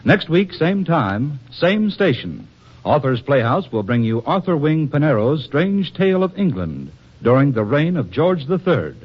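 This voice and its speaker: A man announcing in a formal, measured 1940s radio style. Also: on the high side, very animated, slightly rough. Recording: dull, hazy vintage radio recording.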